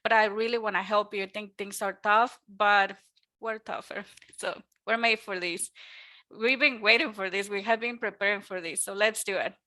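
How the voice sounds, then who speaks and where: Woman, Mexican Spanish accent, native Spanish speaker, upbeat presenter, lots of ums, clear bright tone. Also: medium pitch, fairly even intonation, moderate pace. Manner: earnest, upbeat, frequent ums. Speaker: mortgage lender presenting a webinar. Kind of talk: webinar presentation.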